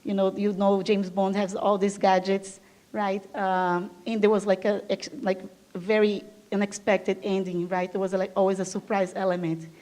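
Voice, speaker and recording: A woman's voice: medium pitch, level tone, normal speed, dark tone. Enthusiastic Brazilian-born woman giving a talk. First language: Portuguese. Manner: enthusiastic, chatty, frequent fillers. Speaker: guest speaker. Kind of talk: conference talk. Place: event room with live audience.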